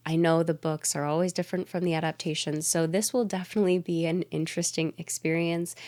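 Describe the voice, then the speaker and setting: A young woman from Canada talks through a book haul in an easy, chatty way, often breaking into small laughs. Her voice sits a little low for a woman and carries a slight nasal stuffiness from a cold. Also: a little mellow. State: mildly congested from a cold. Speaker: booktube host. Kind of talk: casual book haul chat.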